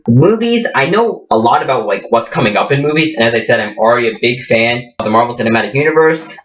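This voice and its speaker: A man's voice: mid-range voice, fairly bright tone, recorded from a distance, lo-fi sound. A fifteen-year-old boy with a Midwestern American accent and a slight Indian lilt, chatting casually and poking fun at himself in a vlog recorded in a small room. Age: teens. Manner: chatty, self-mocking, jokey asides. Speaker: vlogger.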